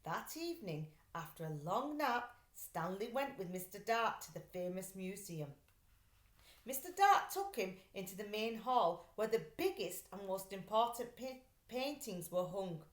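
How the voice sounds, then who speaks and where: A woman reading a children's story aloud like a teacher, with expressive, emphatic delivery. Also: medium pitch, unhurried. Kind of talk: scripted storybook narration. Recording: echoey room.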